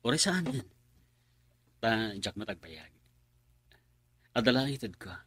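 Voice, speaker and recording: A man's voice: fairly low pitch, very animated, slow pace, fairly bright tone, slight background noise, full character voice. A man playing an earnest, lovestruck young suitor in a radio drama. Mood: tender, bashful. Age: young adult. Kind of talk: Filipino radio drama acting.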